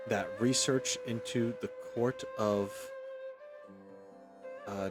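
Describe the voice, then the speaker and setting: A man streams a video game in an informal, rambling way, at a middling pitch. He thinks out loud and reads on-screen text aloud, with music clearly playing behind his voice. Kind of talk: casual let's play commentary, reading in-game text aloud.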